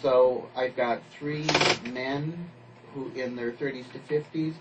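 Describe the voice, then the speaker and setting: A man's voice: medium pitch, steady tone, slow pace, distant mic, heavy background noise. A man being interviewed on radio as a playwright and producer, explaining things casually with examples.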